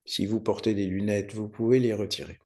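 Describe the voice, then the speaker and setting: A man teaching guided meditation, giving unhurried, soothing step-by-step instructions with pauses. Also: lowish voice, fairly even intonation, warm tone. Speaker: yoga nidra meditation teacher.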